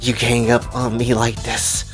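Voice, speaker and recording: A man's voice: medium pitch, monotone, measured pace, voice pushed down, natural voice. A man voicing a menacing, bitter female fighter in a story, scornful and threatening. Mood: contemptuous. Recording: music behind the voice.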